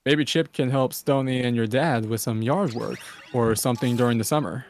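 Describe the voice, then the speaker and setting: A man's voice: medium pitch, normal speed, no echo, close to an everyday voice. A man voicing a tired, patient young cartoon dad in a weary manner.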